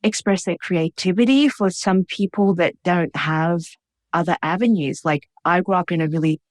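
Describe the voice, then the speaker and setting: A woman's voice: on the low side, moderate pace, no echo, clean studio sound. A woman with an Australian accent, a guest cook and writer, talking reflectively with lots of "like" and "you know". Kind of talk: conversational interview.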